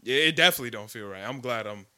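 A man's voice: medium pitch, expressive, very fast, sharp tone. A man in his twenties hosting a podcast, joking around in casual, slangy, emphatic chat. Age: 20s. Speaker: podcast host.

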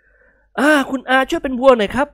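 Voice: sits high, normal speed, fairly bright tone, no room sound, clean studio sound, pitched above the performer's own voice. A reader voicing a cheerful young man teasing his friends in an audiobook.

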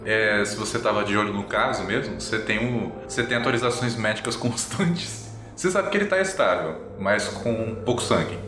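A man's voice: on the low side, lively intonation, normal speed, hardly any pauses, echoey room, over music. A man narrating a tabletop game as game master, addressing a player directly.

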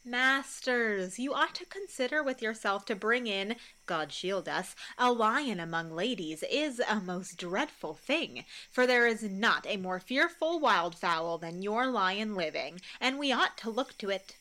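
A woman's voice: medium pitch, lively intonation, a little slow, few pauses, sharp tone, rough recording. A woman playing a bossy, boastful male weaver in a Shakespeare radio play, with theatrical, self-important delivery. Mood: pompous.

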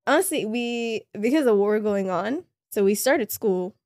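A young woman from Canada whose first language is Somali, telling stories at an easy pace in a relaxed podcast chat with lots of fillers. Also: medium pitch, unhurried, dry recording, clean studio sound. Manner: relaxed storytelling, many fillers. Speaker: guest.

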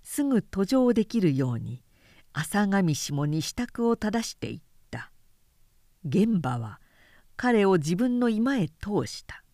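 A woman narrates a literary period story at an unhurried pace. Her pitch moves expressively through the sentences, and the sound is dry, with hardly any room. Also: big pitch swings, dark tone, no echo. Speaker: narrator reading a story aloud.

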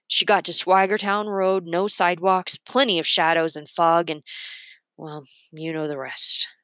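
Woman as a storybook uncle, grown man, frank, low-key, hesitant, regretful, dry close sound. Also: fairly bright tone, dry recording, natural voice.

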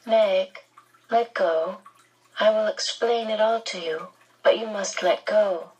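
A woman voicing a small possessed boy in an audiobook, with a canned, calm, coldly reasonable manner. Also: mid-range voice, slow pace, breathy edge, voice pushed up, poor audio.

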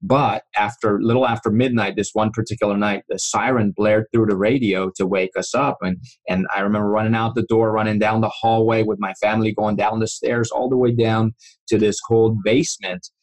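Low, flat-voiced man from Iran. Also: brisk, rough recording. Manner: earnest, restarts often, vivid recounting. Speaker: guest. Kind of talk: storytelling interview.

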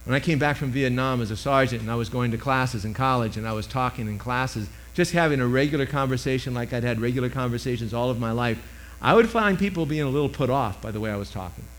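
An older man in his sixties delivers a sermon in a loud, carrying voice. He leans into emphatic points, then pulls back to a hush. His pitch sits in the ordinary middle range for a man, and he speaks into a microphone. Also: fast talker, slight background noise. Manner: emphatic preaching, swinging from loud to hushed. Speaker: preacher.

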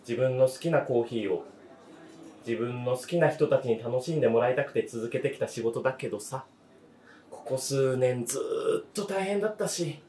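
A man's voice: mid-range voice, darkish tone, a little hiss or hum, close to an everyday voice. A man in his thirties playing a weary, self-doubting cafe owner in a radio drama, speaking in a hesitant, reflective, understated way. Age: 30s. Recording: roomy, echoing voice recording.

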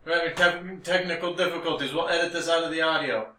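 Bright-voiced man podcasting in a roomy space. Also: on the high side, quick pace, slight background noise. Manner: animated storyteller, quotes people in dialogue. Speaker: podcast co-host. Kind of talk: casual podcast chat. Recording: roomy, echoing room sound.

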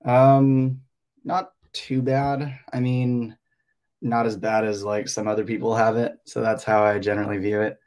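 A man's voice: fairly low pitch, flat delivery, a little slow, darkish tone. A young man from Hong Kong, a teacher and podcast guest, talking casually and hesitantly, thinking aloud with many fillers.

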